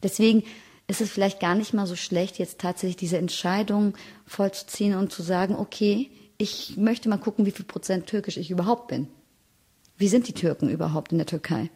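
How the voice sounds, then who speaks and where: A woman in her thirties from Germany gives a documentary interview. Her voice is mellow and warm. She speaks thoughtfully and leans on key words for emphasis. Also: medium pitch, brisk, slightly rough. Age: 30s.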